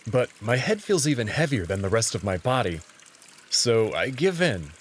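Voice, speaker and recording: A man reads first-person story narration for a visual novel. His mid-pitched voice moves expressively, and there is a faint sound of running water behind it. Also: a little hiss or hum.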